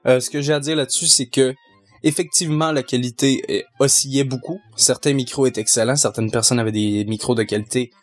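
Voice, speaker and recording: Young Québécois man, measured and hesitant. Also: medium pitch, steady tone, soft background music. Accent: Québécois French. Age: young adult. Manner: measured, hesitant with many fillers. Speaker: sound mixer. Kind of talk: casual Q&A answers.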